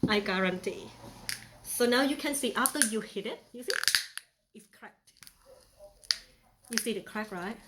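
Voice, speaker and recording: A woman's voice: fairly high pitch, unhurried, long pauses, heavy background noise. Thai accent lady vlogging a how-to, playful, lots of ums, takes her time. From Thailand. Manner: chatty, playful, many fillers. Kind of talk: casual how-to demonstration.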